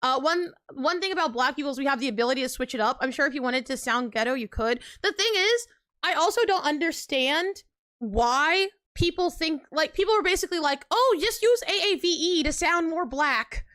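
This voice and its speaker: A young woman streaming live, chatting casually with viewers in an animated, emphatic way full of fillers and quick pitch jumps. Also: no echo, clean studio sound. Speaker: livestreamer.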